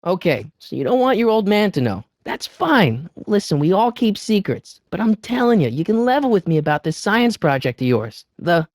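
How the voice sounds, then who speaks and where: A man's voice: sits high, big pitch swings, normal speed, few pauses, a little mellow, no echo, studio quality. A man playing an earnest, wisecracking American teenage boy in a video game, with a youthful voice and a casual, slightly hesitant, eager manner. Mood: coaxing.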